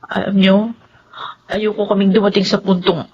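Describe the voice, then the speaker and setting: A woman's voice: medium pitch, flat delivery, moderate pace, husky, lightly acted. A woman acting an anxious young adult fretting over family in a radio drama, earnest, with a Filipino accent. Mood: earnest concern. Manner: worried, earnest. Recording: muffled lo-fi audio, faint noise.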